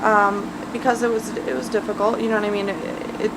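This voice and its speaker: A woman's voice: medium pitch, flat delivery, brisk, very noisy. A Russian-born woman, a film makeup artist, talking casually and confidently in an interview, often restarting her sentences.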